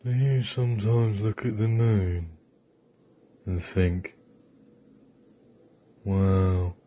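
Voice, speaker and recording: A man voicing an awkward, earnest comic oddball with a gravelly voice, halting and groping for words in an improvised character sample. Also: lowish voice, flat delivery, warm tone.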